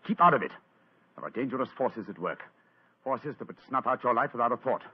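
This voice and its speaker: An actor playing an urgent, commanding British gentleman detective in a dramatic 1940s radio style. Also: mid-range voice, lively intonation, a little fast, breathy edge. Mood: urgent warning. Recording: dull old radio recording.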